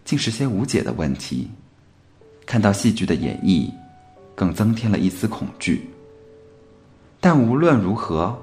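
A man narrates a scripted literary reflection as a podcast presenter. His voice is fairly low, with lively rises and falls. He sounds earnest and contemplative, with faint music behind him.

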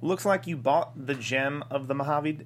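An actor playing an unimpressed small-town sheriff with a dry, sardonic manner in a comedy script read. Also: male voice, mid-range voice, moderate pace, natural voice. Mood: dry mockery. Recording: clear background noise and hum.

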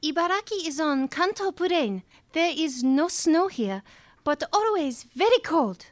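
Very high-pitched, earnest warrior girl. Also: animated, a little slow, no room sound, clean studio sound. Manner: broken English, earnest, literal. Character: earnest young Japanese female warrior, literal-minded. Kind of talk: audio drama acting.

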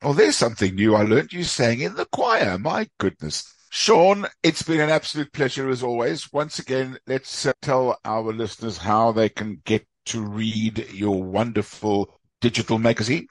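Friendly guy hosting a sports podcast, mid pitch, dry close mic. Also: lively intonation, normal speed, no echo. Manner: friendly, asks questions, wraps up. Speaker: podcast host.

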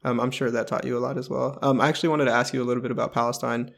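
A man interviewing a guest in a relaxed, casual way, often pausing with ums. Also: mid-range voice, gravelly.

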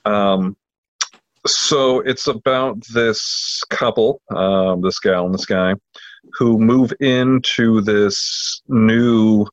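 A man with a fairly low voice co-hosts a casual podcast. He explains things haltingly, padding with fillers. The sound is dry and a little dull, without room echo. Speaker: podcast co-host. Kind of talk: casual chat, informal book recommendation.

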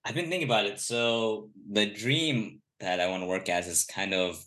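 A man's voice: fairly low pitch, animated, moderate pace, fairly bright tone. A young man in his early twenties from Los Angeles, a podcast guest who rambles with lots of filler words. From United States (Los Angeles). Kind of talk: casual chat.

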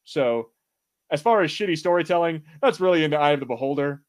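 A man's voice: a little high, lively intonation, fast. Rambling, sweary male podcast co-host. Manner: rambling, profane. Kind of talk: casual podcast banter about film and TV.

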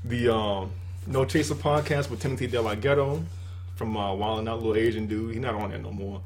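A man's voice: on the low side, steady tone, a little fast, a little mellow. A man co-hosting a podcast, chatting loosely in slangy, rambling casual talk. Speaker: podcast co-host. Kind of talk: casual chat.

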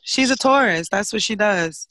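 A woman with a raspy, slightly hoarse voice, co-hosting a casual, profane podcast from inside a car. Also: medium pitch, expressive, moderate pace, a bit bright, no echo. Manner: profane, laughing, easygoing. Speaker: podcast co-host.

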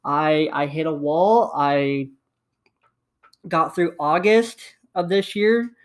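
A man's voice: a little high, slow. Man in his twenties, slight lisp, upbeat rambling monologue, home USB microphone. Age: 20s. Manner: upbeat, rambling, repeats himself. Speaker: podcast host. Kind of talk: casual solo podcast monologue. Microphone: USB desktop microphone.

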